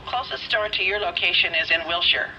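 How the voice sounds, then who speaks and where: An actress playing an efficient police telephone operator in a video game, polite and crisp, through a phone filter. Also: female voice, on the low side, fairly quick, sharp tone. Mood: helpful, businesslike. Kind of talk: video game dramatic acting, phone dispatch. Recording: dull telephone line, faint noise.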